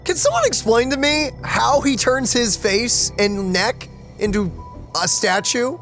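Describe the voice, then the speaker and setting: Casting: animated male gamer host, high register, casual playful commentary, faint music behind. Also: unhurried. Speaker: let's play host. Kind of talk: casual gaming commentary, reading dialogue aloud.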